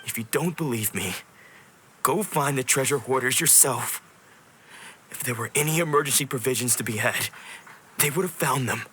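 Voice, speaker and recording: A man's voice: medium pitch, moderate pace, pauses often, pushed and bright, slight background noise, natural voice. An actor playing a defensive, irritated townsman in a fantasy video game, speaking bluntly. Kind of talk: video game cutscene voice acting.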